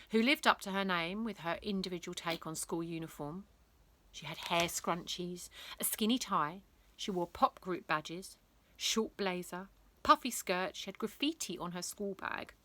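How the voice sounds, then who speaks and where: A woman reading a children's bedtime story aloud in a theatrical, expressive way, lingering on words. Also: medium pitch, a little hiss or hum.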